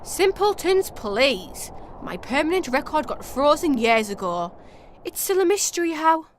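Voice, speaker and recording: A woman's voice: high-pitched, sing-song, measured pace, slight background noise. A woman acting a haughty, stubborn winter spirit in a comedic radio drama, snide and argumentative. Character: Winter, haughty petulant female season, stubborn and sarcastic.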